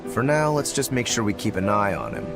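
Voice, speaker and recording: A practical seafarer in a video game. His voice is fairly low, his manner casual, dry and watchful, with faint music in the background. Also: huge pitch swings, fairly quick, gravelly, full character voice. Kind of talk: animated game cutscene acting.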